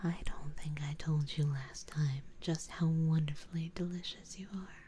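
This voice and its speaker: A woman playing an elegant, doting, possessive vampire noble in an ASMR roleplay, in a soft, breathy, mostly whispered voice with a genteel, coaxing manner. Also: deep voice, fairly even intonation, moderate pace, a little hiss or hum, natural voice. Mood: seductive, savoring.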